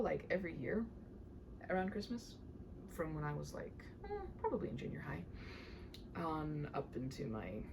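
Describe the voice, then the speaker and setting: Woman in her thirties, expressive read-aloud, wry asides, very lively pitch, faint background noise. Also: gravelly. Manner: dramatic reading, wry self-interrupting commentary. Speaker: storybook reader.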